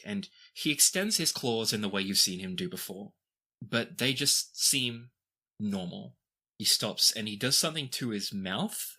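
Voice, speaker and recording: Bright-voiced man narrating a tabletop game. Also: lowish voice. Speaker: game master.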